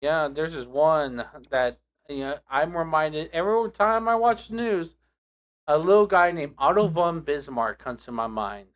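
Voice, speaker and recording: A young man hosting a casual podcast chat with friends, talking in a relaxed, rambling way with restarts. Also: a little high, unhurried. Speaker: podcast host.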